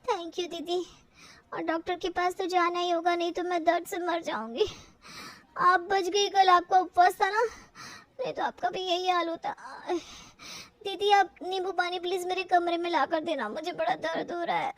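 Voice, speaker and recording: Woman doing a whiny, very high young daughter-in-law. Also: steady tone, moderate pace, fairly bright tone, dry recording. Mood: plaintive. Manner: plaintive, sarcastic.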